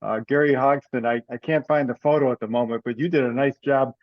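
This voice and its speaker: A man's voice: medium pitch, steady tone, fast, dry recording. Man chairing a meeting, slightly muffled. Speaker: meeting chair of an amateur radio club group. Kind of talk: informal online meeting update. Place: online video call. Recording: slightly dull video-call audio.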